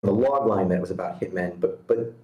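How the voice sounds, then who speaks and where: A low-pitched man talks through casual, constructive notes on a script. He is halting, thinking aloud as he goes, with a roomy echo on his voice. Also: highs cut off. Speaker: screenplay reader giving feedback. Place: online video meeting.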